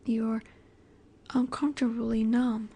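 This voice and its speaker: Soft, slow-speaking vampire seductress, acted by a woman. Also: a little high, little pitch movement, exaggerated voice. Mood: hushed, wistful. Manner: soft, breathy, measured, often dropping to a whisper. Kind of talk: intimate scripted audio roleplay.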